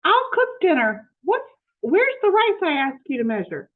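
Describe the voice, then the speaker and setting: A woman's voice: extremely high pitch, sing-song, unhurried, pitched above the performer's own voice, full character voice. A woman voicing an exasperated, easily shocked lady of the house in a storybook, exclaiming dramatically. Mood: dismayed. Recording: muffled narrow phone-like audio.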